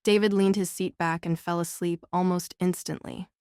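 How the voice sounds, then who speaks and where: Smooth, low-pitched female audiobook narrator. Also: steady tone, moderate pace, a little mellow, no echo, studio quality. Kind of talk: scripted narration of a novel.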